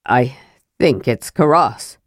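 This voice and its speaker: A woman voicing a grim, stubborn old male dwarf in a fantasy audiobook, speaking in a gruff, firm, terse way. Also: deep voice, unhurried, dry recording, clean studio sound, voice pushed down. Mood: shaken.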